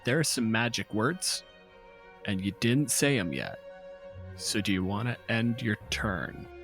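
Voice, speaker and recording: A man's voice: lowish voice, animated, a little slow, a bit bright, faint music behind. A man running a tabletop game as game master, narrating and explaining rulings in a casual, profane way.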